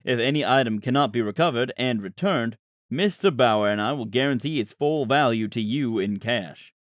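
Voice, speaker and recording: Man playing an earnest, measured father. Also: mid-range voice, no room sound, studio quality, natural voice. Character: earnest father pleading a restitution plan. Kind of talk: audiobook character voice.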